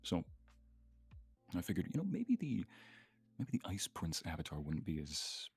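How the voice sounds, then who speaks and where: A man with a low, creaky voice chats casually on a live stream. His intonation swings animatedly and his talk is playful, with faint music behind him. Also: huge pitch swings, normal speed, a little mellow. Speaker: voice actor and guest creator. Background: faint background music with guitar.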